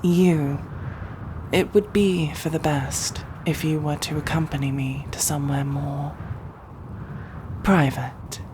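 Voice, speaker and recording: Casting: woman to play stern, dutiful matriarch queen for ASMR roleplay; formal, commanding, very slow delivery, clearly noisy ambient backdrop. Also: deep voice, fairly even intonation. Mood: guarded, stern. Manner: formal, imperious, measured. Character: regal, resentful, dutiful sorceress matriarch queen of desert world.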